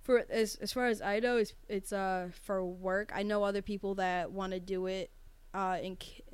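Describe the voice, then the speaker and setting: Young woman cohost, smooth clear voice, chatty and swearing a lot, dry mic. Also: mid-range voice, no echo. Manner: chatty, animated, profane, quick to laugh. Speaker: podcast co-host. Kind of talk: casual chat.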